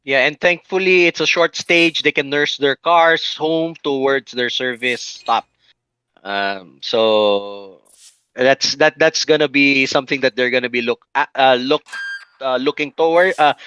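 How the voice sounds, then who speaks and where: A man with a Filipino accent co-hosting a sim rally stream, halting and self-correcting. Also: mid-range voice, pushed and bright, no room sound, dull audio. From Philippines. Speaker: co-host and steward. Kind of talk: live esports commentary. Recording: clear background noise.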